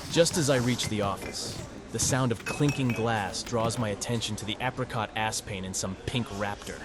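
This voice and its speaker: Man playing wry, low-pitched teenage boy. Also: moderate pace, background noise, lightly acted. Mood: wry narrating. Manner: dry, sardonic inner monologue. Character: wry, awkward teenage human boy narrating his life. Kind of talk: first-person visual novel narration and dialogue.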